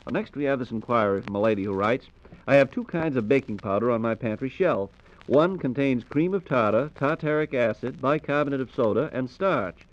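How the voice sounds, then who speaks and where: An American man hosts in the style of 1940s radio, with a medium-pitched voice. He reads his script formally and courteously, and the old recording sounds dull and muffled. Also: animated, a little fast. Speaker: radio host.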